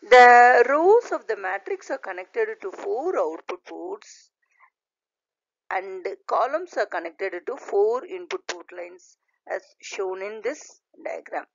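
A woman lecturer reading a scripted slide lesson aloud in a deliberate, halting way, in short phrases. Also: medium pitch, expressive, gravelly, dry recording. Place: voice-over recorded over slides. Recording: dull, lo-fi voice recording.

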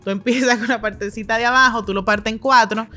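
A Dominican chef in her thirties, telling stories as a guest in a podcast studio, talking with lively gestures and quick asides. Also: a little high, faint music behind. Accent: Dominican Spanish. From Dominican Republic. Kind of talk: casual interview storytelling. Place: podcast studio with brick walls and plants. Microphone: studio mic on a boom arm.

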